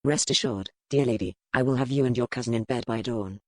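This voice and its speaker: Male reader as self-assured Regency gentleman, courtly manners, medium pitch, dry sound, for romance audiobook. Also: fairly quick, no room sound, lightly acted. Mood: smug, insistent. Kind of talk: scripted dialogue in a historical romance audiobook.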